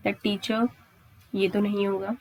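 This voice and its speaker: Husky Indian woman teaching a lesson. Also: medium pitch, flat delivery, slow pace, poor audio. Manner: repetitive, asks and answers her own questions, frequent check-in tags. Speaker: English grammar teacher. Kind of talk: exam-prep lesson at a board, explaining step by step.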